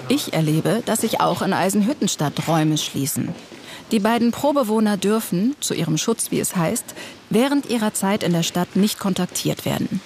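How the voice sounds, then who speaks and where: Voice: mid-range voice, expressive, a little mellow, a little hiss or hum. Reporter narrating a radio feature. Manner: measured, terse phrasing.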